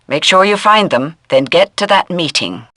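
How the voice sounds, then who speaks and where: An actress playing a stern intelligence handler giving crisp, formal mission briefings in a video game. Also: female voice, lowish voice, animated, normal speed, sharp tone. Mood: stern, businesslike. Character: stern, businesslike intelligence handler directing a secret agent.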